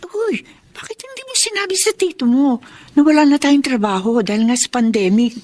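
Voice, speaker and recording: A woman's voice: high voice, animated, brisk, a little mellow. A woman playing a pushy, teasing young best friend in a Filipino radio drama. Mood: teasing, nosy.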